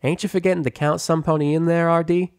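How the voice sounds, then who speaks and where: A man's voice: a little high, little pitch movement, fast, darkish tone, clear, resonant tone, no room sound, studio quality, pitched above the performer's own voice, lightly acted. A man voicing a down-to-earth, teasing young female farm pony with folksy, plain-spoken talk.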